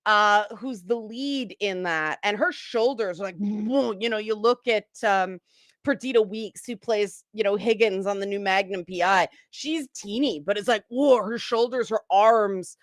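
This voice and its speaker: A woman's voice: mid-range voice, measured pace, dry recording, clean studio sound. Frank, opinionated woman on a panel. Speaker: panel guest. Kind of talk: casual panel discussion.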